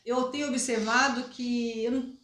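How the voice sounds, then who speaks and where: A woman's voice: fairly high pitch, fairly even intonation, moderate pace, a bit bright. A woman, hosting a conversational interview and feeling her way through her questions with rephrasing. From Brazil. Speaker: interviewer, host. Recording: roomy, echoing room sound.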